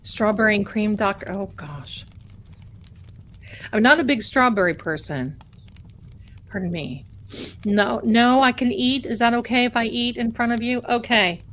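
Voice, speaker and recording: A middle-aged woman casually chatting with her live-stream viewers as a tarot reader, rambling warmly and calling them her darlings. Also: a little high, measured pace, pauses often, slight background noise.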